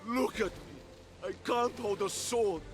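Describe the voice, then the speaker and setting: High-voiced, theatrical fallen war god. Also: male voice, measured pace, music in the background. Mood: glum, defeated. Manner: melodramatic, theatrical. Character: fallen war god turned chicken, boastful then pathetic. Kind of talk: video game cutscene acting.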